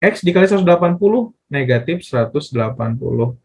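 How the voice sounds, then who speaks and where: Man teaching, very lively swooping pitch. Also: fast, breathy edge. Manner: asks and answers own questions, repeats phrases. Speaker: math teacher. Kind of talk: casual step-by-step tutorial explanation.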